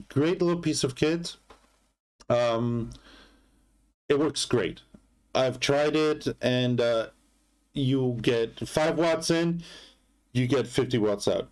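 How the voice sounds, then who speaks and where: Slow-paced man casually demonstrating gear. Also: mid-range voice, darkish tone. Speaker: product reviewer.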